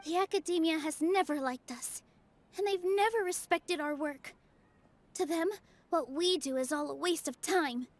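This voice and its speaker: A woman playing a gentle, earnest and apologetic young stage performer in a video game, sincere in manner. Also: sits high, normal speed. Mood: earnest, troubled. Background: game music.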